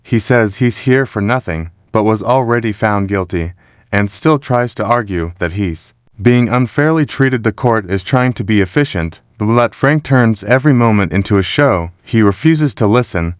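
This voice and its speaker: A man reading scripted voice-over commentary in a steady, disapproving tone. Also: fairly low pitch, dry recording, clean studio sound. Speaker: narrator.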